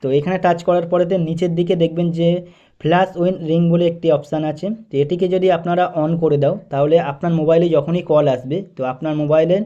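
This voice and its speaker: A man with a fairly high, very mellow voice, guiding listeners through instructions step by step. He is methodical and repeats his phrasing as he goes. The recording is a slightly dull, lo-fi voice-over. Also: steady tone, a little fast. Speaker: tech tutorial host. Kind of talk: step-by-step phone tutorial narration.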